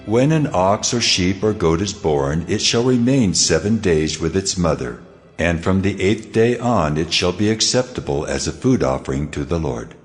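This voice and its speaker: A man's voice: low-pitched, lively intonation, soft background music. A man voicing the Lord, solemn and commanding, in a dramatized scripture reading. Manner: solemn, slow, weighty pronouncements.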